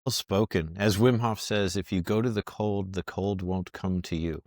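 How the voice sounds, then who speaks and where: Deep-voiced rambling podcast host man. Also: steady tone, normal speed, darkish tone, dry recording, studio quality. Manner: friendly, rambling, many fillers. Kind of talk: casual podcast interview.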